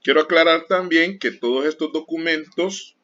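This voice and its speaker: Bright-voiced teacher lecturing. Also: male voice, on the high side, moderate pace. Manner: explains with everyday examples and repeated warnings. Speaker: accounting teacher. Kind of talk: classroom lecture. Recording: dull lo-fi sound.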